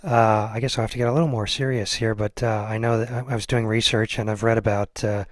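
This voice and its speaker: A man hosts a casual podcast interview. His voice is fairly low, and he feels his way through questions tentatively. The recording is dry, with very little room sound. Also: little pitch movement, quick pace, no echo.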